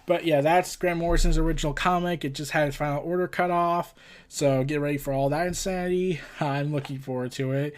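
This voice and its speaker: A man with a notably high-pitched voice hosts a casual news roundup. He rambles through his commentary in a scattered, halting way, often getting tongue-tied and circling back to find his place. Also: steady tone. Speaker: host of a comics and entertainment news show.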